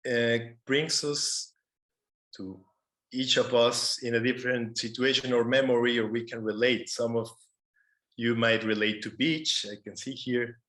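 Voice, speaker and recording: A man from Mexico with a Mexican Spanish accent giving an informal talk as a panelist, halting as he searches for words. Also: medium pitch, fairly bright tone. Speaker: panelist, conservation photographer. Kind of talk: informal presentation to an online audience.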